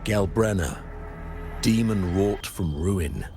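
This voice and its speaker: An actor playing a solemn, menacing, self-righteous priest in a video game, with grandiose preachy declamation. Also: male voice, sits low, slow pace, over music. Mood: righteous condemnation. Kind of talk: theatrical video game voice acting.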